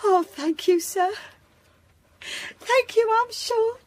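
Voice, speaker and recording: An actress playing a timid, humbly polite household servant in an audio drama, deferential and close to tears. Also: female voice, very high-pitched, takes it slowly, background noise. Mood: tearful gratitude.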